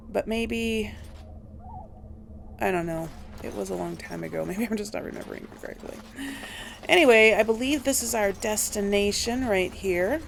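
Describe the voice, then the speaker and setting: A woman giving casual video game commentary, thinking aloud in a halting, musing way as she plays. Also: medium pitch, creaky, a little hiss or hum. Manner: halting, musing, talks to herself. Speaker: host of a video game playthrough.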